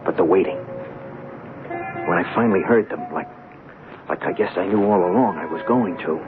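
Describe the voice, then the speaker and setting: An actor playing a young small-time hustler, weary and defensive, confessing in colloquial, hesitant radio drama style. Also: male voice, deep voice, normal speed, music in the background, natural voice. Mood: grim, resigned. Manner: colloquial, hesitant, self-justifying. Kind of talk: radio drama acting, first-person confession. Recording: muffled, noisy old radio drama broadcast.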